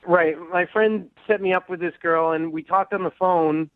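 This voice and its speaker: Over a dry, narrow phone connection, a male caller talks to a radio show. His voice sits a little high for a man, and he tells things haltingly, nervous and quick to apologise. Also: steady tone, no echo. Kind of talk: casual, halting storytelling. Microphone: phone call. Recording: narrow, tinny phone line.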